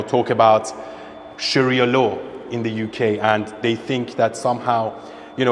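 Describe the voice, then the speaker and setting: A man's voice: medium pitch, slight background noise. Man, from Iran, podcast guest, emphatic, repeats points, reverberant room. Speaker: interviewee, social media creator. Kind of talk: opinionated conversation.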